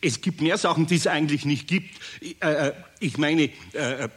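A man's voice: on the high side, normal speed, fairly bright tone, slightly rough. An actor playing a kindly, flustered older craftsman with a Bavarian accent in a children's radio play, homely and often hesitant. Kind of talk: 1970s German children's radio play acting.

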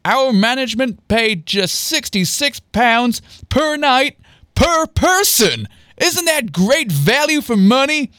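A man's voice: high-pitched, very animated, moderate pace, a bit bright, no room sound, studio quality, pitched above the performer's own voice. A man voicing a flamboyant, smug young enthusiast in a story, declaiming grandly. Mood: smug, enthusiastic. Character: Andy, flamboyant young man, proud, manic, bossy enthusiast.

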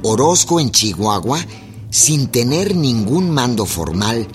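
A man with a Mexican accent giving measured, dramatic narration for a historical radio drama. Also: mid-range voice, very animated, over music.

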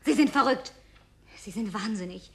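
A woman's voice: medium pitch, normal speed, fairly bright tone, lightly acted. An actress playing Linda, a lonely, genteel woman in a German radio drama, wistful, suspicious and easily wounded, speaking formally and expressively. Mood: hurt, upset. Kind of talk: German radio drama acting, naturalistic dialogue.

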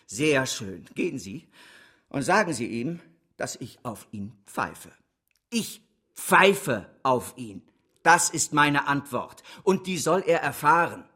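A husky, scornful, embattled banker. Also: male voice, mid-range voice, sing-song, slow, bright, forceful tone, exaggerated voice. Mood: bitter, defiant. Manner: biting, sarcastic, contemptuous. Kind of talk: German radio drama acting.

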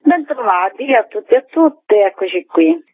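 A tinny, dry telephone connection carries the voice of a woman with a mid-range pitch. She speaks as a guest in a radio interview, explaining things articulately in long, flowing sentences. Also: big pitch swings, normal speed, husky, dry recording. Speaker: theatre artist, interview guest. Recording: tinny phone line on radio.

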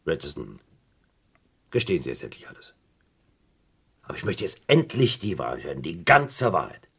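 An actor playing a stern, impatient British police interrogator in a German radio crime play, with a commanding, sarcastic way of questioning. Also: male voice, rumbling low, very animated, normal speed, gravelly, fairly bright tone, slightly rough, exaggerated voice. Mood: stern, insistent.

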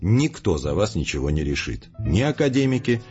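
A man's voice: deep voice, big pitch swings, normal speed, a little mellow, muffled recording, faint music behind. A man playing a self-assured, coolly logical intellectual in an audio drama, lecturing formally and emphatically. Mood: firm, sober. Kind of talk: scripted audio drama dialogue.

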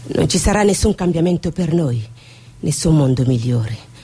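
Actress as very low, husky, fatalistic seer. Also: female voice, expressive, normal speed, mellow. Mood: bitter, solemn. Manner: solemn, fatalistic. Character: fatalistic Somali woman seer, grave, proud and bitter. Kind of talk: stage drama acting.

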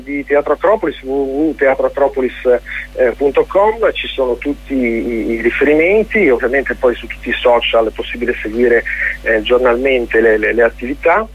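A man with an Italian accent answers in an informal radio interview as a festival director, speaking haltingly and often repeating words as he searches for phrasing. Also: medium pitch, husky. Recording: dull, lo-fi radio interview audio.

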